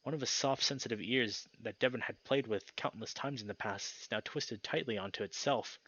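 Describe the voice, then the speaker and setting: A man's voice: medium pitch, fairly quick, pushed and bright, husky, lo-fi sound. A young man reading a visual novel aloud as a dramatic story narration for a let's play. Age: young adult.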